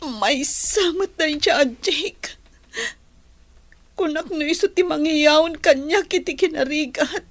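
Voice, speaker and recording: A woman's voice: high-pitched, no room sound. A woman in a radio drama playing a sick, weary daughter who talks in a plaintive, pained way. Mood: shocked, despairing. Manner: slow, plaintive, pained delivery. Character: sick, weary daughter flying home from working abroad. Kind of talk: radio drama acting in Ilocano.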